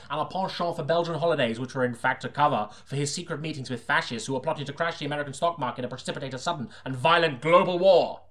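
Casting: male audiobook narrator, medium pitch, for a tongue-in-cheek noir detective story told with deadpan seriousness.

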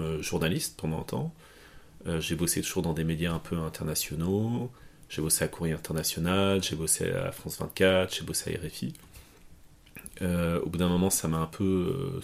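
Gravelly low-voiced man, hesitant. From Portugal. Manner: hesitant, many fillers and restarts. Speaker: guest. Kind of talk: conversational interview.

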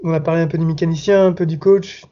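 A man's voice: fairly high pitch, fairly even intonation, fast, mellow, highs cut off. A man hosting a podcast, speaking French as a second language, musing aloud and searching for his words. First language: not French. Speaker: podcast host. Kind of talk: casual podcast conversation.

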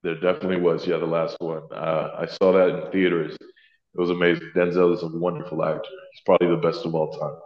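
A man with a deep baritone voice, talking earnestly as an actor guest in a casual interview, often pausing to correct himself. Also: level tone. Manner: earnest, thoughtful, self-correcting. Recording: roomy, slightly dull sound.

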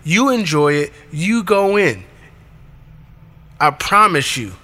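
Raspy dude hyping up creatives, bright edgy voice, preachy and emphatic. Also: male voice, on the high side, sing-song, takes it slowly. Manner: preachy, emphatic, punchy short commands. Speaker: podcast host. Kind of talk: motivational monologue.

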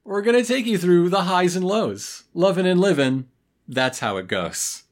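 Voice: medium pitch, expressive, normal speed. A podcast co-host chatting casually and comedically, with a wry, playful way of riffing. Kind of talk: casual comedic chat about a cartoon.

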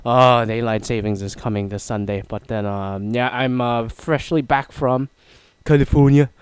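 A man's voice: medium pitch, normal speed, smooth, no room sound. A man hosting a casual solo talk show, rambling and self-mocking, with a nasal, stuffy voice and a throat that is half gone. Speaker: podcast host.

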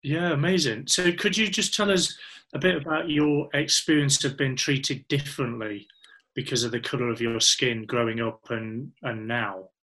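A man's voice: medium pitch, fairly even intonation, moderate pace, pushed and bright, low-quality recording. A man conducting an informal interview.

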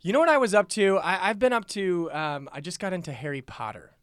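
A man's voice: sits high, animated, fairly quick, a bit bright, clear, resonant tone, no echo. A man chatting casually and jokingly as a podcast guest, enthusiastic and gushing. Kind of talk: casual comedic chat.

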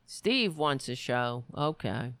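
A woman hosting a political livestream with a worn, raspy voice, talking bluntly and sarcastically while reading the chat. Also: very deep voice, lively intonation, takes it slowly, a bit bright, dry recording. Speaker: livestream show host.

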